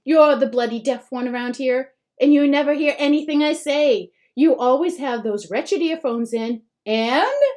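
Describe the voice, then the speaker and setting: A woman voicing a furious, exasperated middle-aged mother who yells and scolds. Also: fairly high pitch, expressive, slow pace. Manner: yelling, sharp, scolding.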